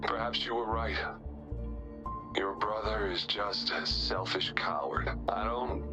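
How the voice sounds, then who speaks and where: A man playing a remorseful older brother leaving a solemn, halting farewell in a video game. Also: on the low side. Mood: remorseful, resolute. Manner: somber, halting. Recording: quiet message with background music.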